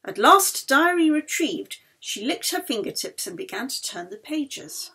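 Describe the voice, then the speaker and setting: A woman narrating a novel as its author, with dramatic, deliberate phrasing. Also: sits high, big pitch swings, pushed and bright. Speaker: author reading their own novel. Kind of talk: expressive audiobook narration. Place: recorded at home.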